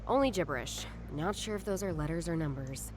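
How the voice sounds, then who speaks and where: An actress playing a wry female detective working alone in a noir visual novel, with a dry, deliberate delivery. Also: deep voice, expressive, crowd noise. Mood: focused, musing. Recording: game music playing behind the voice.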